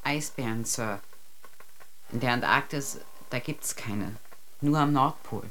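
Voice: mid-range voice, takes it slowly, a bit bright, slight background noise, rough recording. A young performer playing a timid, bookish teenage boy stowaway in a radio drama, deferential and hesitant.

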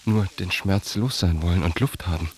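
A man's voice: deep voice, normal speed, close to an everyday voice. An actor in a literary radio drama playing a grim, intense narrator of a man's dying agony. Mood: grim, anguished.

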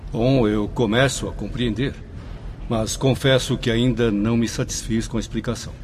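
A man's voice: mid-range voice, fairly even intonation, normal speed, darkish tone, slight background noise, lightly acted. An actor playing a self-important male professor in a radio drama, formal and full of questions. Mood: doubtful. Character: vain, questioning male European professor, now a bewildered spirit. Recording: music behind the voice.

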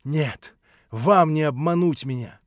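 A man's voice: on the high side, takes it slowly, no echo, studio quality. A man reading an audiobook voices a proud young woman, speaking impassioned and outraged. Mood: accusing. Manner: impassioned, rising to outraged cries.

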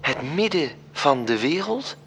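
An actor playing a wistful angel who narrates a radio drama, a man with a measured delivery. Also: on the high side, big pitch swings, a bit bright, slight background noise. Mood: storytelling. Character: wistful angel recounting the tale to his superior. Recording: music under the voice.

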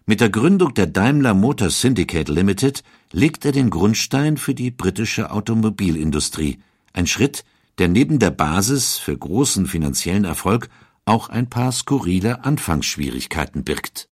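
A man narrating a scripted historical documentary in a storytelling manner with deliberate emphasis. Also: lowish voice, big pitch swings, breathy edge, no echo, studio quality.

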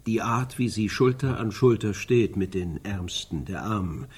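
A man in a German radio play acting a solemn, insistent analyst, with a very husky, creaky voice and deliberate, repetitive emphasis. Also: on the low side, lively intonation, darkish tone. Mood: assertive. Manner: deliberate, emphatic, repeats himself. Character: solemn male analyst dissecting a woman's life, insistent.

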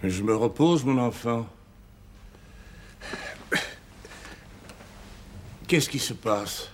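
An actor playing a dignified, dry-witted village priest in a television drama, speaking formally and with authority. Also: male voice, medium pitch, lively intonation, moderate pace, slight background noise, close to an everyday voice. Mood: drowsy, courteous.

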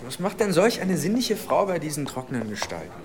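An actor playing an oily, insinuating 1920s newspaper reporter in a radio drama, smooth-talking and suggestive. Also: male voice, a little high, big pitch swings, brisk, noisy background.

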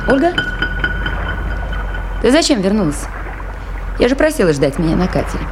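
An actress playing a resolute, earnest woman in charge of a river station in a radio play, speaking firmly and with measured authority. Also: medium pitch, sing-song, close to an everyday voice. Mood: firm, insistent. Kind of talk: 1980s radio play acting. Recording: faintly noisy 1980s radio drama recording.

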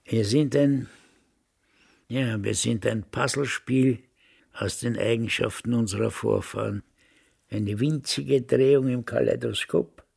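An actor in a radio drama playing a cantankerous, fatalistic old father with a raspy, creaky voice. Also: medium pitch, a little mellow, exaggerated voice. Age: older. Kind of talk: German radio drama acting.

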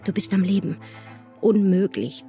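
An actress playing a passionate, anguished woman in a radio play, reading her farewell love letter in a hushed, intimate manner. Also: mid-range voice, expressive, measured pace, creaky. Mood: anguished, pleading. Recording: studio radio play with music. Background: music.

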